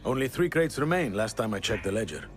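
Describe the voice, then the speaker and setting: A man's voice: lowish voice, big pitch swings, fast talker. A man playing a dutiful dock underling in a video game. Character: dutiful male dock underling reporting a tally. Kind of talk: dramatic video game acting.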